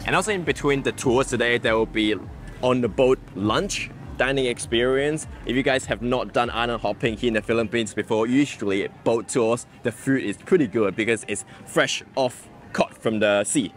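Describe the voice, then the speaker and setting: A man's voice: mid-range voice, moderate pace, a little hiss or hum. A young man vlogging outdoors on a tropical island, explaining the tour in a chatty, playful way into a lapel mic. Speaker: travel vlogger. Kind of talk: casual travel vlog narration.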